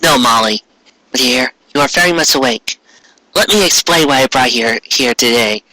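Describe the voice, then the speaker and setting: A man voicing a solemn, gentle queen with a formal, measured, motherly manner in a fan fiction reading. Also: mid-range voice, lively intonation, no echo, voice pushed down, poor audio.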